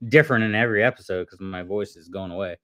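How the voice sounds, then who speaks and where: A man chatting casually as a podcast co-host, easygoing and quick to agree. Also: fairly low pitch, a bit bright, dry recording. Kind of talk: casual chat.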